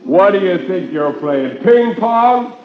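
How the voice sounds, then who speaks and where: A man's voice: lively intonation, slow, echoey room, a little hiss or hum. A man voicing a spirited young nephew calling out exuberantly across a tennis court in a 1950s radio story. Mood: excited, teasing. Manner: loud, high, exuberant calling. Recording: dull vintage radio recording.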